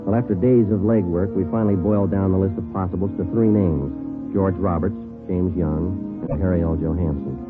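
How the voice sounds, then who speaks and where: Guy playing old radio cop, deep deadpan voice, talks quick and businesslike, tinny sound. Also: natural voice. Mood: dry, factual. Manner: deadpan, matter-of-fact. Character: terse, businesslike male police sergeant detective. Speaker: actor, lead and narrator. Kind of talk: 1950s radio police drama acting, clipped narration. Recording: tinny vintage radio recording with music.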